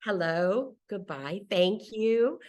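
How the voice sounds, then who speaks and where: A woman's voice: mid-range voice, lively intonation, slow, darkish tone, dull audio. A woman in her sixties, a native English speaker, tells stories casually as an interview guest, often interrupting herself and acting out quotes. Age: 60s. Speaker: interview guest, solo traveler. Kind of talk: casual conversational storytelling.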